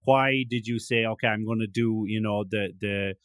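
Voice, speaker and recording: A man's voice: fairly low pitch, steady tone, normal speed, clear, resonant tone, dry recording, clean studio sound. A man with an Irish accent hosting a casual interview, rambling with many restarts and fillers. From Ireland. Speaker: podcast host.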